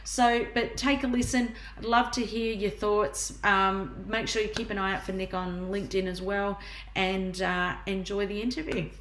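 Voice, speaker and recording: A woman's voice: mid-range voice, fairly even intonation, normal speed, fairly bright tone. A woman hosting a podcast, introducing her guest and chatting in an enthusiastic way. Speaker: podcast host. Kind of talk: casual interview hosting. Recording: echoey room, faint noise.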